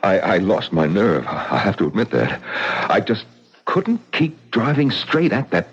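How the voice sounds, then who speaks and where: A man's voice: lowish voice, big pitch swings, a little slow, husky, full character voice. A brash tough guy. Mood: shaken, generous. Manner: defiant, brash, stammers when shaken. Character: tough, defiant man from the east. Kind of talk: 1970s radio drama acting. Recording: dull, faintly hissy old radio broadcast.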